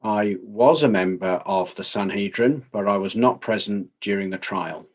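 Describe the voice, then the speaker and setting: A man playing a grave, dignified councillor giving measured, formal testimony in a dramatized inquest, in slightly dull sound. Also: lowish voice, close to an everyday voice. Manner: measured, formal, plain-spoken.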